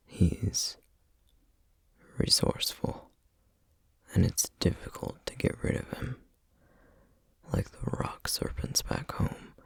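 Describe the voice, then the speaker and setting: A man playing a solemn, guarded, protective flame demon in a whispered ASMR roleplay, speaking formally and hesitantly with a breathy, gravelly voice. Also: fairly low pitch, dry recording, exaggerated voice. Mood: quietly reassuring. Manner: slow, measured, formal, hesitant.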